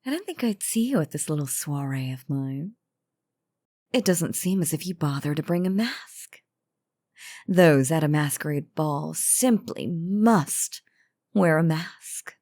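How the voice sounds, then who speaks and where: A woman acts out an elegant vampire lady in a roleplay audio drama. Her low voice moves slowly through genteel, formal phrases, teasing and condescending with calm menace. The recording is close and dry. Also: no echo, full character voice. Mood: coy, mocking.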